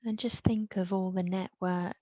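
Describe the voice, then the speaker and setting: A woman with an English accent leading a guided meditation, soothing and unhurried, with long pauses. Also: on the low side, fairly even intonation, dark tone, dry recording.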